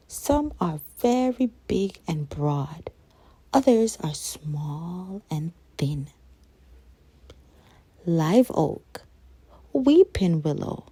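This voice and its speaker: Woman doing a kids book read aloud, slow n expressive, mellow voice, really dry close sound. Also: mid-range voice, no room sound. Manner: measured, expressive storytelling for young children. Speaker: children's book reader. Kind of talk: read-aloud of a children's nonfiction picture book.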